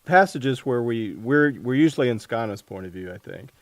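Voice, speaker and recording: A man hosting a casual book interview, speaking in a halting way as he thinks aloud. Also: medium pitch, expressive, no echo. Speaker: podcast host interviewing an author.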